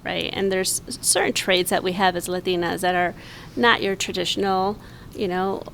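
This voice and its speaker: A woman in her forties, a podcast guest, talking in an earnest, reflective way with many pauses. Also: on the low side, steady tone, gravelly, fairly bright tone, slight background noise, poor audio. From United States, raised in Mexico. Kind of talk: casual conversation.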